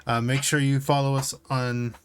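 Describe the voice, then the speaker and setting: A man's voice: medium pitch, level tone, moderate pace, soft background music. A man hosting a podcast, talking casually about tabletop games. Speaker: podcast host.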